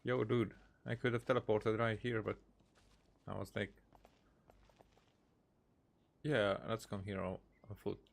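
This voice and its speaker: A man's voice: on the low side, fairly even intonation, normal speed, gravelly. A man doing casual live commentary as a game streamer, reacting aloud and repeating himself.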